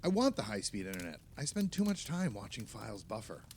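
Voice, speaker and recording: Actor as a casual male friend, lively intonation. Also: fairly low pitch, fairly quick, slight background noise. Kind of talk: radio play dialogue, conversational.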